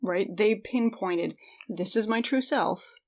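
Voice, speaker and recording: A woman's voice: medium pitch, takes it slowly, darkish tone. A woman co-hosting a podcast, chatting in a casual, informal, conversational way. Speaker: podcast co-host.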